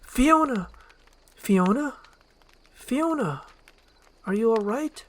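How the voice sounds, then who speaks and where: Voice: mid-range voice, expressive, takes it slowly, voice pushed up. A performer voicing an earnest, tender-hearted male ogre hero in a storybook roleplay. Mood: worried.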